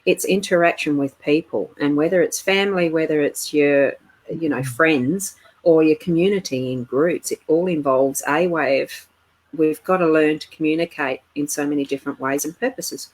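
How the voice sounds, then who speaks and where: A middle-aged woman co-hosting a live spiritual chat stream, talking in a meandering, halting way. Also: low-pitched, low-quality recording. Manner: meandering, halting, laughs often.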